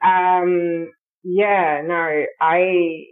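A woman's voice: lowish voice, little pitch movement, drawn-out words. A woman chatting casually with a friend in a playful, giggly, informal way. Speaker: podcast guest. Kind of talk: casual chat between friends. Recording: tinny, phone-like call audio.

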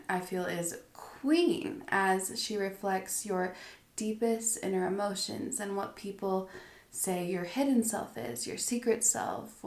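A woman talking directly to her viewers in a gentle, soft voice that often drops near a whisper, sounding a little stuffy from allergies. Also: mid-range voice, echoey room. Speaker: astrology vlogger. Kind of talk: reflective spoken monologue to viewers.

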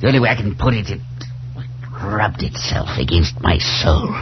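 Muffled noisy old radio recording, male actor as haunted aging professor, low swooping voice, halting, frightened, 1940s drama style. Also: lively intonation, close to an everyday voice. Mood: horrified. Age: older. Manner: halting, breathless, broken phrases. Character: haunted, terrified older male professor losing his grip.

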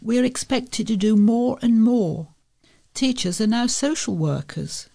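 A woman with a creaky voice reading local newspaper articles aloud as a volunteer reader. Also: on the high side, lively intonation, measured pace, mellow.

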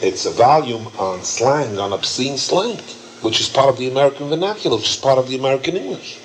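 A man's voice: medium pitch, lively intonation, a little fast, slight background noise, poor audio. A man leading an informal question-and-answer session, emphatic and repetitive as he explains. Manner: warm, emphatic, repeats himself. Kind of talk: informal Q&A lecture.

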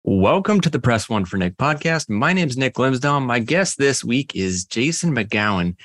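A man hosting a podcast interview, friendly and joking in a relaxed way. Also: mid-range voice, normal speed, no room sound. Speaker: podcast host.

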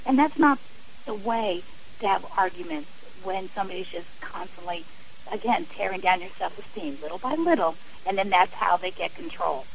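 A woman's voice: fairly low pitch, lively intonation, moderate pace, vocal fry, slight background noise, rough recording. A woman speaking as an author and guest on a radio interview, earnest and emphatic, often repeating phrases for stress.

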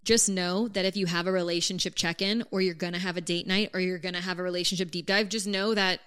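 A woman's voice: on the low side, steady tone, fast, a bit bright, smooth. A woman co-hosting a casual couple's podcast, earnest and practical, with light teasing and the odd casual swear. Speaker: podcast co-host.

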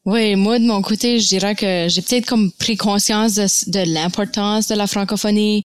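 Young woman w/ acadian accent, chatting thoughtfully, smooth clear voice, dry sound. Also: mid-range voice, fairly even intonation, moderate pace, no echo. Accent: Acadian French of Baie Sainte-Marie. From Acadian Nova Scotia. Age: young adult. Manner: reflective, many fillers and restarts. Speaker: podcast guest. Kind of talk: casual conversation.

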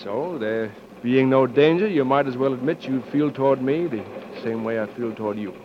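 A man plays a breezy American flyer sweetheart in 1940s radio acting style. He is wry and coaxing, at a middle pitch. The recording is muffled and clearly noisy. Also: moderate pace, close to an everyday voice. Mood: teasing, coaxing.

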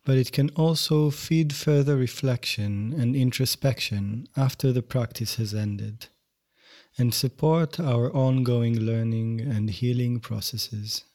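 A man teaching yoga nidra, guiding a meditation in gentle, measured, unhurried phrases. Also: mid-range voice. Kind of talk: guided meditation instruction.

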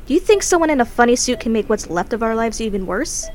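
A woman plays a defiant, world-weary girl who is blunt to the point of hardness. The voice sits a little high and moves around a lot, with faint ambient noise behind it. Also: expressive, quick pace, darkish tone, lightly acted. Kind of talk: audio drama acting.

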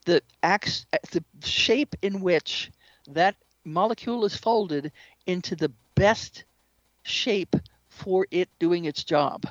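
A woman speaking as an interview guest, explaining spiritual ideas in an earnest, measured way. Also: on the low side, no room sound, muffled recording. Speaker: interview guest, shamanic practitioner and author.

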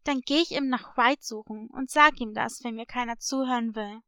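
Woman as a high-pitched, feisty little animal girl. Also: a little fast, a bit bright, clear, resonant tone, no echo, clean studio sound. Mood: defiant. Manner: brusque, determined.

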